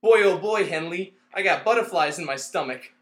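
A man playing a nervous, naive, excitable young husband in a 1930s-style radio comedy double act. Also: high-pitched, normal speed. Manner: naive, gushing. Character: nervous, excitable, dim young vaudeville husband in his twenties.

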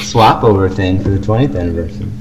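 Low-voiced young man, noisy recording. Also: quick pace. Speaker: podcast co-host. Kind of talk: casual fan podcast chat. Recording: noisy amateur home podcast recording.

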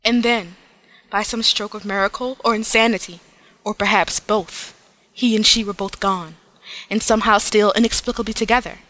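A woman giving a dramatic reading as an earnest, wistful narrator of a romantic tale, deliberate and theatrical. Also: mid-range voice, steady tone, sharp tone, lightly acted, low-quality recording. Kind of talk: dramatic reading of fan fiction.

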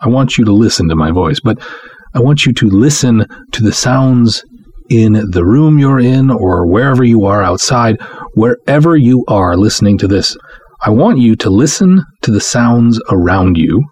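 A man hosting a podcast, addressing the listener directly in a deliberate, emphatic monologue with dramatic pauses. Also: medium pitch, expressive, darkish tone, husky, no room sound. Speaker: podcast host.

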